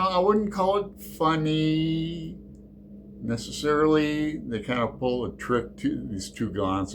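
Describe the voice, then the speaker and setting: Slow, rambling man chatting about books. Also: medium pitch, noisy background. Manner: rambling, hesitant, many fillers. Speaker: book channel host. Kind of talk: casual book tag chat.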